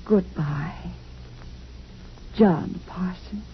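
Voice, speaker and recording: A woman playing a gentle, wistful female android in a measured, solemn 1950s radio drama style. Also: lowish voice. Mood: sad, longing. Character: female android, wistful, gentle, newly feeling human emotions. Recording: muffled old radio recording, faint hiss.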